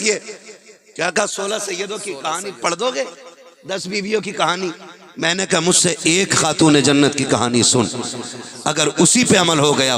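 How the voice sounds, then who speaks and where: A man with a high, forceful voice and a North Indian accent delivers an impassioned Islamic sermon. He drives his points home with rhetorical questions and emphatic repetition, speaking as a cleric to a live gathering. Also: creaky. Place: live religious gathering with audience.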